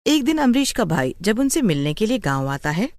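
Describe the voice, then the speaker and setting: A woman's voice: medium pitch, sing-song, moderate pace, darkish tone, no room sound, clean studio sound. A woman narrating a scripted story as a storyteller.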